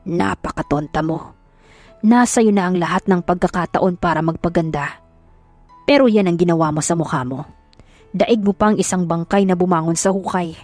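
A woman's voice: fairly low pitch, moderate pace, a little mellow, no room sound, pitched below the performer's own voice, close to an everyday voice. Female narrator as elderly father, stern and commanding, for romance audio drama, music underneath. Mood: angry, scolding. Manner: scolding, commanding.

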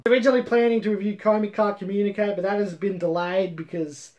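A man in his thirties hosting a casual comedic review, rambling and swearing, repeating himself with mock-boastful swagger. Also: high-pitched. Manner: rambling, sweary, repeats phrases for comic effect. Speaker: host of a manga review show. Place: room at home with bookshelves.

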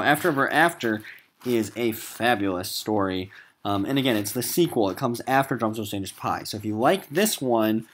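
A man's voice: lowish voice, slight background noise. A man with an American accent teaching a recorded literature lesson in an emphatic, informal classroom style.

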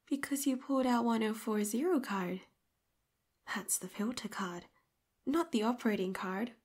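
A woman voicing an obsessive, clingy female robot companion in an audio roleplay, talking breathy and hushed into a close mic, swinging from pleading to eerily calm control. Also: on the high side. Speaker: voice actress.

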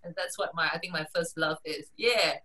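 A woman in her forties, raised in Singapore, telling her career story as a guest on a video call, animated and quick to laugh. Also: distant mic. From Malaysia, raised in Singapore. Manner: animated storyteller, laughs often, lively pitch swings. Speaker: guest, strategy consultant. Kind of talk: casual video-call interview.

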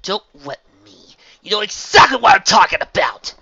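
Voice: medium pitch, lively intonation, fairly quick, bright, forceful tone, pitched above the performer's own voice. A reader voicing a sarcastic, hot-tempered young woman, a former villainess who accuses and mocks, in a dull lo-fi recording. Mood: angry.

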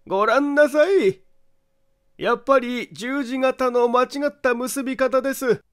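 An eerie male detective, acted by a male reader, speaks in a high voice at a very slow pace. Each phrase is deliberate and insistent, in the manner of a dramatic mystery audiobook. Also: clean tone. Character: eerie, slow, low-spoken male detective laying out a crime. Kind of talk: dramatic audiobook character acting.

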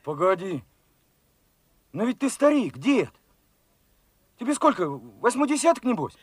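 An actor playing an eager, naive twenty-year-old working lad in a radio drama, earnest, plain-spoken and full of questions. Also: male voice, high-pitched, big pitch swings, measured pace, slightly rough, no room sound, exaggerated voice. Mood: puzzled, amazed. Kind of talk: Soviet radio drama acting.

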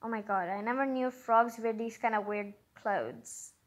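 A woman's voice: a little high, unhurried. A woman hosting a storybook read-aloud, breaking in with chatty, exclaiming asides in an informal way. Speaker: host of a story reading channel.

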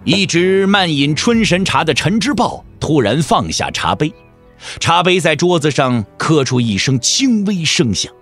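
A man's voice: on the high side, very animated, measured pace, fairly bright tone, soft background music. A man narrating a martial-arts audio drama in a dramatic scripted style.

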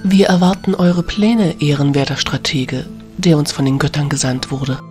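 A man's voice: on the high side, steady tone, normal speed, over music, close to an everyday voice. A man voicing a dignified female leader of wild creatures in a fantasy audio drama, formal and courteous. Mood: reverent.

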